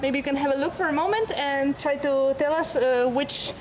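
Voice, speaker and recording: A woman's voice: sits high, moderate pace, poor audio. A woman leading a walking tour outdoors, speaking with many hesitations and fillers. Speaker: tour guide. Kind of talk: guided walking tour talk. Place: outdoors on a city street. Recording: street noise behind.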